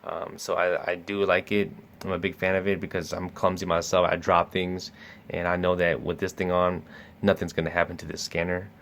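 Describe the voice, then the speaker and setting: A man's voice: sits low, level tone, moderate pace, a bit bright, a little hiss or hum. A man casually talking through product features as a store salesperson, with many uh and um fillers. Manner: easygoing, lots of uh and um fillers. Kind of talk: casual product demo talk.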